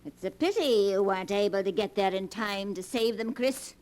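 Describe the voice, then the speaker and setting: A woman's voice: medium pitch, normal speed, a little mellow, lightly acted. A woman playing a cackling scheming grandmother. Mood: sly, mocking. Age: older. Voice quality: dry, cackling. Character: scheming old grandmother, cold and mocking. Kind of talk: 1940s radio acting. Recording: old radio recording with faint hiss.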